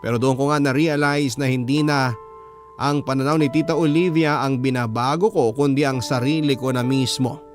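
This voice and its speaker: An actor playing an earnest boyfriend narrating his love story in a scripted radio drama, sincere, reflective and measured. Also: medium pitch, steady tone, soft background music, close to an everyday voice. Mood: resigned, earnest.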